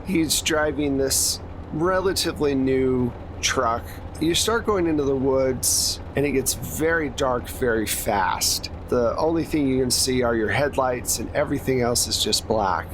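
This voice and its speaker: A man's voice: medium pitch, unhurried, background noise. A man hosting as game master, narrating a tabletop horror game in a conversational style. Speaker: game master and podcast host.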